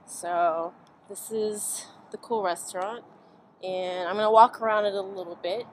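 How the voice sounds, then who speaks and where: A woman's voice: mid-range voice, steady tone, measured pace. A young woman chatting casually and informally in a rambling travel vlog, recorded on a camera held at arm's length. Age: young adult. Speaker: vlog host, filmmaker. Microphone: built-in camera mic at arm's length. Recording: noisy handheld amateur recording.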